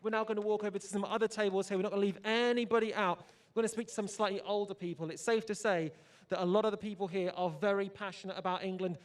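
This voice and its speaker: Hyped fast-talking young male presenter. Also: high voice, steady tone. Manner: upbeat, hyped, jokey with guests. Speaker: roving fan zone presenter. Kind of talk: energetic outdoor broadcast chat. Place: outdoor fan zone in a city square.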